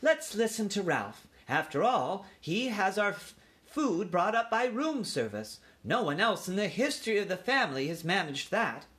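A man voicing an aunt mouse in a storybook, emphatic and drawn-out, with an air of importance. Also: high voice, big pitch swings, a bit bright, voice pushed up. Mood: persuasive. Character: ominous but reasonable aunt mouse.

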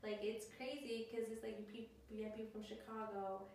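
A woman's voice: on the high side, little pitch movement, a little fast, a little mellow, distant mic, a little hiss or hum. Chatty woman, voice off mic in an echoey room. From Mexico. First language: Spanish. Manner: chatty, laughs easily. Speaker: medical worker. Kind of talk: casual chat.

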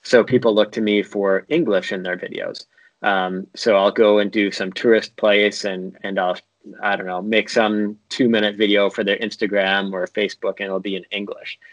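A man's voice: on the low side, level tone, moderate pace, dry recording, low-quality recording. A young man from North America, a video editor, talking casually and a bit ramblingly as an interview guest.

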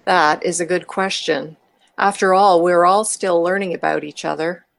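A woman's voice: lowish voice, steady tone, normal speed, gravelly. A woman playing a mischievous, eccentric woman chatting with a friend in an audio drama. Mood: thoughtful. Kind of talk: amateur audio drama dialogue.

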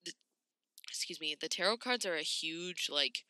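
A woman hosting a podcast in casual, candid, slangy talk, slightly raspy and congested from a cold. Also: sits low, animated, normal speed, bright, forceful tone, no room sound, studio quality. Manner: candid, informal, sweary, slangy. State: congested, getting over a cold. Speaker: podcast host.

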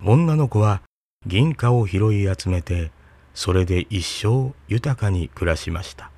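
A man reading a fairy tale aloud in a calm, even storytelling voice. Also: fairly low pitch, slow, breathy edge.

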